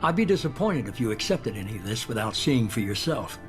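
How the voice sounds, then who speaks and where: A calculating, middle-aged spymaster from a sci-fi game , played by a male actor. He speaks with measured authority at a medium pitch, always persuading. Music runs clearly behind him. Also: sing-song, close to an everyday voice. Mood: cool, persuasive. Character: shadowy middle-aged male leader of a covert organization, calculating. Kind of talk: cinematic video game dialogue.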